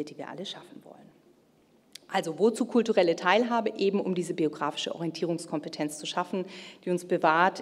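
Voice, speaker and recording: A middle-aged woman gives a formal keynote lecture with measured, emphatic phrasing. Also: lowish voice, darkish tone. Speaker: keynote speaker. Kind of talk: formal conference lecture, partly read from notes. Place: large indoor auditorium stage at a lectern. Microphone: gooseneck lectern microphone. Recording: reverberant hall sound.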